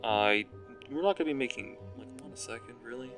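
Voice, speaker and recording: Raspy young man thinking aloud. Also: mid-range voice, animated, brisk, music in the background. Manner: rambling, self-mocking, thinks aloud. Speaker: gaming commentator. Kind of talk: casual gameplay commentary.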